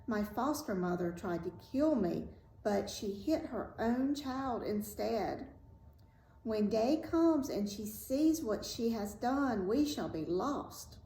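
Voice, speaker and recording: A woman's voice: on the high side, expressive, slow, warm tone, pitched above the performer's own voice. A woman voicing a good young fairy-tale maiden, frightened and urgent, in an earnest, pleading manner. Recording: roomy echo, faint background noise.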